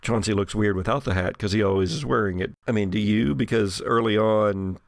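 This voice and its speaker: A man's voice: fairly low pitch, brisk, gravelly, dry recording, clean studio sound. A man acting as game master, narrating a tabletop horror role-playing game.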